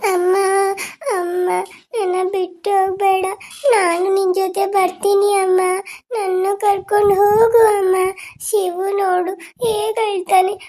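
Sobbing little orphan girl, played by a woman: very high voice, slow pleading words.

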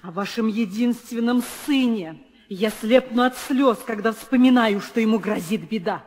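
Sly old nursemaid woman acting, obsequious and mournful, low-voiced servant of a queen; hissy, reverberant archive radio sound. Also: normal speed, darkish tone, natural voice. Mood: tearful. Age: older. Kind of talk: 1960s Russian radio drama acting. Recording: noisy old radio recording, long reverb.

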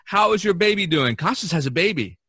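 A man hosting a casual podcast conversation, speaking in a halting way and restarting phrases as he steers the talk. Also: high voice, animated, bright, forceful tone, husky, dry recording, studio quality. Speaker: podcast host.

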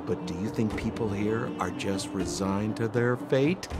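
A man's voice: fairly low pitch, a little slow, over loud music. A man voicing a shifty, glib young con man in a video game, theatrical and full of exaggerated salesman patter. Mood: persuasive, glib.

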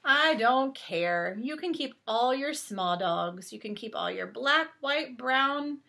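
A middle-aged woman reading a picture book aloud to children as a teacher, expressive and deliberate, with drawn-out emphasis. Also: high-pitched, gravelly. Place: small home bedroom, indoors.

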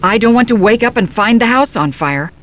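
An actress playing a stern, no-nonsense, exasperated homeowner in a video game. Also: female voice, on the high side, expressive, brisk, a little hiss or hum, lightly acted. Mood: impatient.

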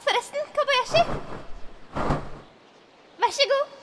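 A woman's voice: very high voice, heavy background noise. A woman voicing a devoted, cheerful young dragon maid in an anime fan dub. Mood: eager.

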